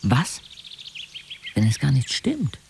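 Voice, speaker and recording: A man's voice: mid-range voice, wildly swooping pitch, slow pace, creaky, slight background noise, heavily acted. An actor playing an intense, brooding young man who narrates his inner life tersely in a radio drama. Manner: terse, introspective, literary. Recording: loud music under the voice.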